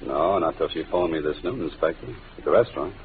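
An actor in a 1940s radio drama playing a confident, wisecracking detective with a dry, clipped delivery. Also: male voice, rumbling low, fast, slight background noise. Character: hard-boiled wisecracking male detective. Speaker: lead of a radio mystery. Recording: tinny old radio broadcast with music.

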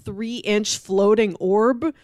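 A woman's voice: mid-range voice, steady tone, very slow, a little mellow, dry recording. Wry woman in a dry-sounding recording. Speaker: podcast co-host reviewing comics. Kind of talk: casual conversational discussion.

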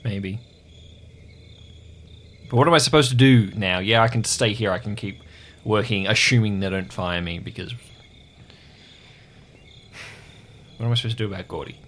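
A man playing a dejected, self-blaming young worker in an improvised audio drama, talking in a halting, defeated way. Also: fairly low pitch, fairly bright tone, pitched below the performer's own voice. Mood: resigned.

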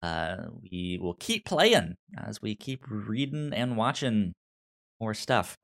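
Halting man hosting, pitch moving freely. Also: sing-song, fairly bright tone. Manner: halting, repeats words as starting sentences. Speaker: podcast host steering the segments. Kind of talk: casual chat.

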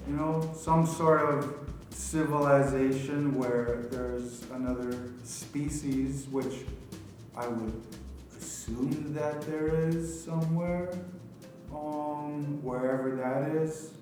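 A man thinking aloud casually in a halting, pondering way. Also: on the high side, steady tone, darkish tone. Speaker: performer answering questions. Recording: very echoey room, music behind.

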